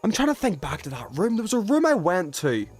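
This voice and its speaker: Animated man streaming a game. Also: high-pitched, brisk, husky, soft background music. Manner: thinking aloud, self-questioning, trailing off; informal slangy speech. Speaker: game livestreamer. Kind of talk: casual livestream gameplay chat.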